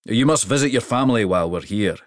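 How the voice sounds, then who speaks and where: A man's voice: on the low side, lively intonation, fast, no echo, studio quality, pitched above the performer's own voice, lightly acted. A man voicing a jovial, towering king who speaks in a hearty, commanding way. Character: booming jovial giant of a king.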